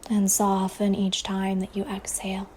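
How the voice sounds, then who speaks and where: Soft, mellow woman guiding a meditation. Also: mid-range voice, completely flat delivery. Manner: soft, breathy, soothing delivery with long pauses. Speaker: meditation teacher. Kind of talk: guided meditation. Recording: faint ambient wave noise behind voice. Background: ocean waves sound effect.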